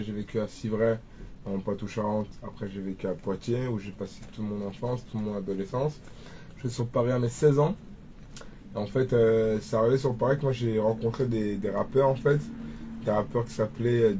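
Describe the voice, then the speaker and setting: A man's voice: lowish voice, steady tone, moderate pace, mellow, slight background noise. A man in his twenties from Cameroon, a rapper talking about his start in music in a casual interview, rambling with many restarts and fillers. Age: 20s. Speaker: rapper guest.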